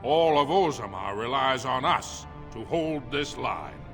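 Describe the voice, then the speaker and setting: An actor voicing a dutiful, officious dwarf commander in a video game, speaking firmly and formally. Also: male voice, medium pitch, animated, a little slow, lightly acted. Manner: formal, firm. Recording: faint music behind.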